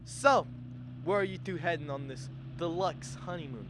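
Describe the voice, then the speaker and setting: A teenage student actor playing a chummy, colloquial small-town cab driver in a radio drama. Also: male voice, fairly high pitch, moderate pace, close to an everyday voice. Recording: noisy street ambience with crowd, traffic.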